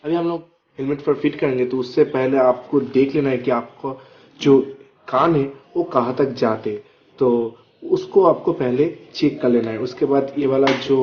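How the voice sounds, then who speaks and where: A man's voice: mid-range voice, muffled recording, slight background noise. A man giving a casual, step-by-step hands-on product tutorial, often checking that the listener follows. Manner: explains step by step with frequent check-in words. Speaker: product reviewer vlogger.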